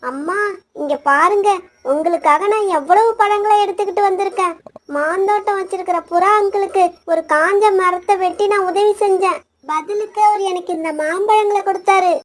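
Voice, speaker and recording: Woman as a very high-pitched little boy bird. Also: normal speed. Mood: happy, proud. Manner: polite, earnest. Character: kind, dutiful young male bird, loves his mother. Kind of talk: acted children's moral story cartoon.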